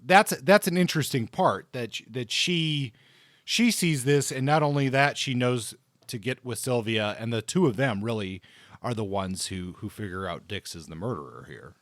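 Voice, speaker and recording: A man's voice: medium pitch, animated, normal speed, fairly bright tone, no echo, studio quality. A man co-hosting a book discussion podcast, talking casually and thinking aloud as he goes. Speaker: podcast co-host discussing books.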